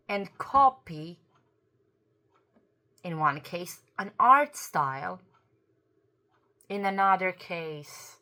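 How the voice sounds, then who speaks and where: A woman whose first language is Croatian talks through her opinion in an unhurried, deliberate way. Her voice is bright and forward, and she sounds earnest as she hunts for the right words and corrects herself. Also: medium pitch. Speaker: YouTube commentator. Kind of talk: opinion commentary to camera.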